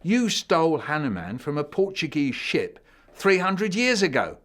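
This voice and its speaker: A man's voice: a little high, big pitch swings, a little slow, a bit bright, pitched above the performer's own voice. A man voicing an indignant woman from a painting in a storybook reading. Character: defiant female figure from a painting.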